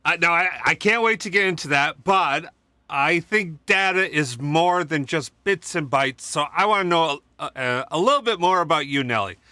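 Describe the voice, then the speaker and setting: A man hosting a casual livestream, energetic and jokey as he welcomes his guest. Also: fairly high pitch, moderate pace, no room sound. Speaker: livestream host.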